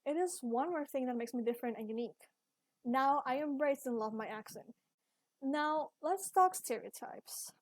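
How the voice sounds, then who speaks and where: A young woman from Ecuador, a Spanish speaker with an Ecuadorian accent, giving an earnest, measured talk about her own life as a university student. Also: high voice, a little mellow, dry recording. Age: young adult. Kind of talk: scripted personal video essay.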